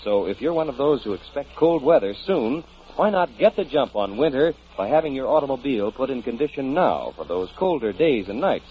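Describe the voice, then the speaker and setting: A man's voice: medium pitch, big pitch swings, brisk. A young man announcing and reading a scripted commercial in 1940s radio style. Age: young adult. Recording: muffled, slightly noisy 1940s radio broadcast.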